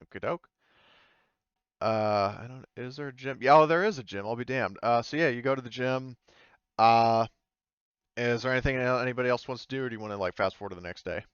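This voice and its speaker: A man's voice: medium pitch, fairly quick, clean tone, dry recording, muffled recording. A man casually explaining and narrating as the game master of a tabletop game.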